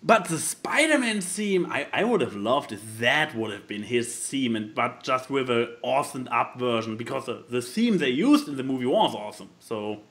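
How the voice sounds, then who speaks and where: A man chatting casually about films as a podcast host, in an animated, rambling way that often stalls and repeats itself mid-thought. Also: medium pitch, normal speed, hardly any pauses. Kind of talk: casual film chat.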